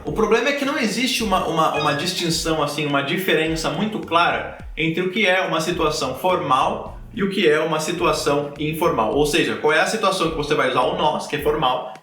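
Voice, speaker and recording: A man's voice: fairly high pitch, pushed and bright, slight background noise. Chatty Brazilian man teaching in an echoey room. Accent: Brazilian Portuguese. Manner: chatty, repeats words, checks in with tag questions. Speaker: language teacher. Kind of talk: casual explanatory lesson to learners.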